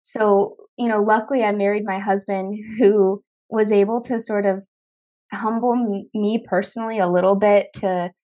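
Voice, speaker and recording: A woman's voice: mid-range voice, fairly even intonation, moderate pace, dark tone, low-quality recording. A woman hosting a podcast, talking casually with lots of "like" and filler words. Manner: chatty, filler-heavy, frequent "like". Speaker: podcast host. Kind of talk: casual conversation.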